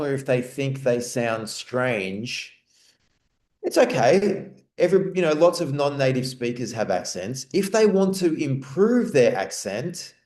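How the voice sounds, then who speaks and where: An Australian man answering webinar questions in a relaxed, rambling way, restarting his sentences often. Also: medium pitch. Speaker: guest speaker. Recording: roomy echo.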